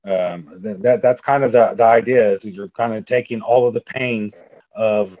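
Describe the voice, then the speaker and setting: A man hosting a live audio Q&A, talking in quick, repetitive bursts with fillers and restarts. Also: on the low side, fairly even intonation, dry recording. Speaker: host. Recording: dull, dry online audio.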